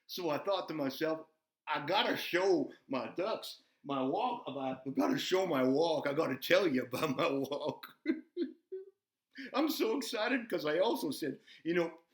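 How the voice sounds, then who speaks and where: Casting: male performer as a high-voiced, excitable, bumbling farm buzzard hosting a kids' puppet show, folksy and repetitive, recorded in an echoey room. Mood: excited. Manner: folksy, repetitive, easily flustered, giggly exclamations. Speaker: children's show host. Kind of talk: rambling children's show chat.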